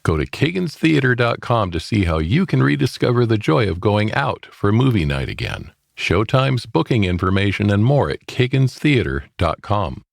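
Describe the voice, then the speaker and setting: A man's voice: fairly low pitch, big pitch swings, moderate pace, vocal fry, few pauses. A man reading scripted sponsor messages as a radio announcer.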